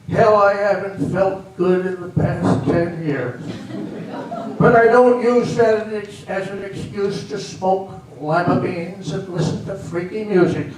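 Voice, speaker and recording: A man on stage playing a gruff, weary and officious border guard in an amateur readers theater. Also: high voice, darkish tone. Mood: weary grumbling. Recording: echoey hall from far off, faint noise.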